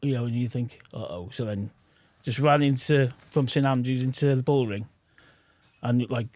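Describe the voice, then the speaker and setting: A man's voice: mid-range voice, normal speed, a little mellow, no echo. A man, a football club kit man, chatting casually on a podcast in a kit room, with short, hesitant, chuckling answers.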